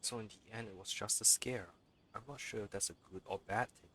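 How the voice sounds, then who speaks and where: A man's voice: fairly low pitch, steady tone, brisk, pushed and bright, a little hiss or hum. A man livestreaming a video game, commenting and reading the dialogue aloud as he plays.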